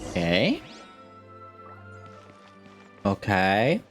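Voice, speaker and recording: A woman's voice: extremely low pitch, huge pitch swings, drawn-out words, soft background music. A woman casually reacting to a game story as host, laid-back and slangy. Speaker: gaming video host.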